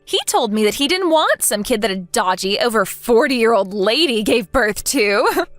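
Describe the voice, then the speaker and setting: A woman's voice: fairly high pitch, animated, normal speed, dry recording, soft background music, natural voice. A woman playing a smug, taunting young mistress in a dramatized story, sneering and condescending to her rival. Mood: dismissive gloating. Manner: mocking, gloating, condescending.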